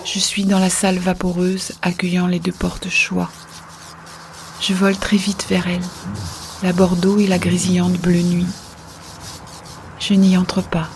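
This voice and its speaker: Audio fiction: actress as a dying woman, tender and resigned, very slow, flat, hushed delivery, faint noise behind. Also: on the low side. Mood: dreamy, detached. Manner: slow, hushed, partly whispered. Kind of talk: intimate audio-fiction narration and dialogue.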